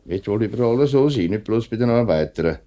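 A man acting as a factory worker in his late thirties with a low, deep voice and a Swiss German accent. He is dutiful and straightforward, speaking in a measured, polite but persistent way. The style is vintage 1950s radio drama. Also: animated, mellow, natural voice. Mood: polite, firm. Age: late 30s. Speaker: actor in a radio play.

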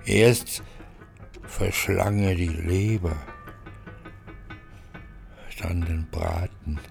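A deep-voiced man reads a literary narrative slowly and deliberately. His voice creaks with gravel, and music plays behind him. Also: fairly even intonation. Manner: measured, deliberate. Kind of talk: literary reading, scripted narration.

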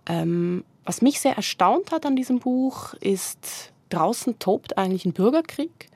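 Creaky-voiced woman interviewer, close and dry. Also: medium pitch, lively intonation, a little slow, darkish tone, dry recording. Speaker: interviewer and host. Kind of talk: conversational radio culture interview.

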